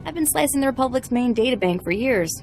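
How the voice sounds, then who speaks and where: An actress playing a sardonic, defiant captive hacker in a video game, talking in a dry, sarcastic way. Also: female voice, on the high side, expressive, quick pace, a little mellow, faint music behind. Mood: eager bargaining. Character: sardonic enslaved female hacker, defiant and bargaining. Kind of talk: video game dialogue acting.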